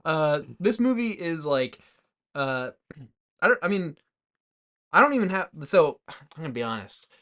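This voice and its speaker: A man with a slightly higher-pitched voice speaks as a tipsy podcast co-host. He chats casually about films, rambling and hesitating as he looks for his next thought. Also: very animated, unhurried, long pauses, fairly bright tone. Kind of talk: casual movie chat.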